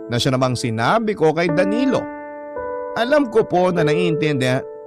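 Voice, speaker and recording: A man with a Filipino accent narrating a dramatic first-person story from a script. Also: mid-range voice. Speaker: narrator reading a letter sender's story. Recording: prominent music under the voice. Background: loud instrumental music.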